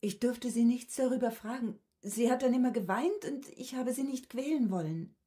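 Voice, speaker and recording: An actress playing a subdued, withdrawn cook in her thirties, answering quietly and matter-of-factly in a radio drama. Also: fairly high pitch, lightly acted. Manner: quiet, halting, reticent, matter-of-fact. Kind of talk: German radio drama acting.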